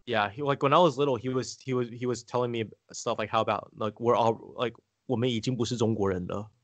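Male, podcast guest, casual storytelling, halting rambling delivery, medium pitch, dry close sound. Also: fairly even intonation, no room sound.

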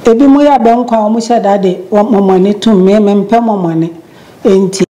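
An older woman from Ghana, speaking Twi, explains a family recipe step by step in a calm, unhurried way in her home kitchen. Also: mid-range voice, poor audio. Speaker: home cook. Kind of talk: cooking demonstration.

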